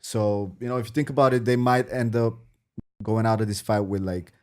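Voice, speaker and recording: A man's voice: fairly low pitch, steady tone, a little fast, a little mellow, smooth. A man from Bulgaria talking casually and in slang as a podcast panelist in a sports discussion. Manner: slangy, informal.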